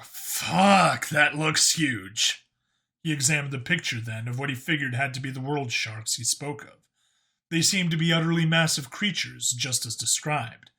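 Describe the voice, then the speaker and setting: A man's voice: medium pitch, normal speed, bright, forceful tone. A man narrating a scripted science fiction story as an audiobook reader.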